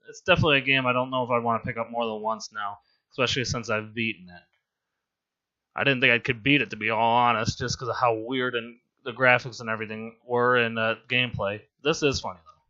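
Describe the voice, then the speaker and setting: A hoarse man with laryngitis giving casual, rambling, amused gameplay commentary as a voice-over. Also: medium pitch, steady tone, fast talker, sharp tone, highs cut off. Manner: rambling, amused and self-deprecating. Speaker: game commentator.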